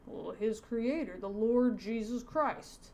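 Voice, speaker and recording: A woman's voice: on the high side, takes it slowly, darkish tone. A woman voicing a solemn, instructive male pastor as she reads a comic aloud. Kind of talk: reading comic dialogue aloud. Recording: noticeable background noise.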